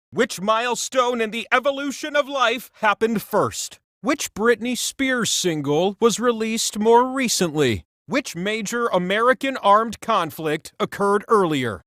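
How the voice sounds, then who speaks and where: An actor playing an excitable, quirky talking wheel that hosts a video game quiz, with a playful, mock-dramatic, showy delivery. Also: male voice, high voice, dry recording, studio quality, exaggerated voice. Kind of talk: theatrical game show question reading.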